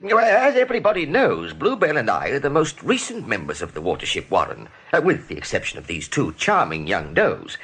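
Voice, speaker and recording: Weary, husky rabbit officer, wildly animated. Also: male voice, mid-range voice, fairly quick, few pauses, full character voice. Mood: indignant. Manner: stammering, formal, military. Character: weary, dignified older rabbit officer, formal and earnest. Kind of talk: radio play acting.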